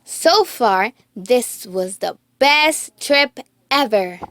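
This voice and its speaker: A woman reads a picture book aloud for young children. Her voice is high and swings expressively through the story. She takes it very slowly, with every phrase set out deliberately. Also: dry recording. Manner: animated, deliberate.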